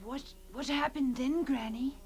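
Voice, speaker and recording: An actress playing a curious, frightened child listening to a ghost story, hesitant and wide-eyed. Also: female voice, high-pitched, a little slow, darkish tone. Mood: timid, curious. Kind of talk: radio drama acting.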